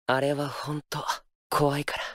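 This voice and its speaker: Cool sharp-tongued senior player, acted by a man. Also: fairly high pitch, monotone, unhurried, close to an everyday voice. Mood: cool, cutting. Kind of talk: anime-style game character lines.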